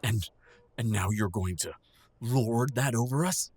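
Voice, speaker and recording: A man's voice: mid-range voice, unhurried, a little mellow, husky, dry recording. A man playing a stern middle-aged father in an audio drama, accusing and self-righteous toward his grown son. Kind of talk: audio roleplay drama acting.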